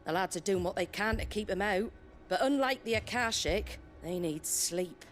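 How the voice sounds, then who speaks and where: A woman playing a plain-spoken, tough leader of an embattled settlement in a fantasy game, brisk and matter-of-fact. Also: mid-range voice, animated, soft background music, lightly acted. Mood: weary resolve. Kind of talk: cinematic fantasy game acting.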